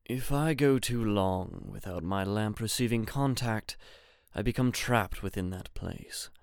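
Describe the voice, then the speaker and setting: A proud, ancient male genie, put on by a woman reading a storybook, speaks low and slow with solemn disdain. The voice is smooth and resonant. The sound is dry and close. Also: darkish tone, no echo. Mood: brooding. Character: haughty ancient male genie, brooding and scornful.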